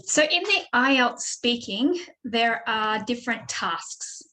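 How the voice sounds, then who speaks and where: A woman's voice: on the high side, animated, slow, bright, forceful tone, low-quality recording. An Australian woman giving a webinar lesson as a speaking tutor over a video call mic, explaining steadily with frequent ums. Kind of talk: online webinar presentation.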